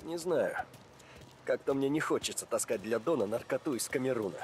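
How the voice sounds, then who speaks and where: A man's voice: mid-range voice, lively intonation, a little hiss or hum, natural voice. An actor as a wary family-man mobster. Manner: measured, reluctant.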